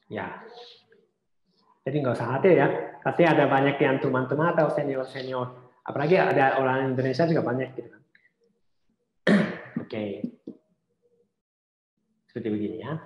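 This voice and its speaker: A man giving an informal online seminar presentation as a speaker on studying abroad, talking in a halting, reassuring way with frequent hesitant pauses. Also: mid-range voice. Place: indoors, online seminar call. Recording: muffled, roomy online call audio.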